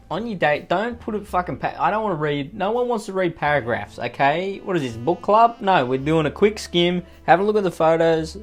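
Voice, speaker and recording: Sweary, rambling Australian guy. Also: a little high. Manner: profane, rambling, sarcastic asides. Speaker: YouTuber reading and reacting to online posts. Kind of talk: comedic commentary on read-out posts.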